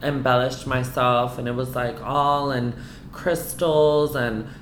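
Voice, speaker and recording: A woman from the US East Coast telling a personal story to a host, candid and animated, filling her talk with "like" and some swearing. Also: a bass voice, takes it slowly, a little hiss or hum. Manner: frank, animated, peppered with "like" and swearing. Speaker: guest. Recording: roomy echo.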